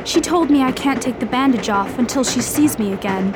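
A woman as a high-voiced, earnest young bride-to-be. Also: fairly quick, very noisy, natural voice. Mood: confiding. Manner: earnest, confiding. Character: sweet, trusting young bride-to-be, anxious to please herself. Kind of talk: amateur radio drama acting.

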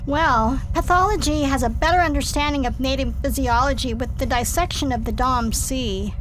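A keen research scientist, played by a woman, with a high voice and an earnest, explanatory manner. She speaks in a science fiction radio drama with clear background noise. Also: moderate pace, lightly acted, low-quality recording. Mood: earnest, explaining.

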